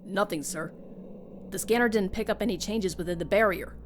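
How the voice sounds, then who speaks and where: A woman's voice: mid-range voice, a little fast, slight background noise, natural voice. A woman voicing a dutiful, businesslike lab assistant in a comic dub, reporting with deference. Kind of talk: fan comic dub voice acting.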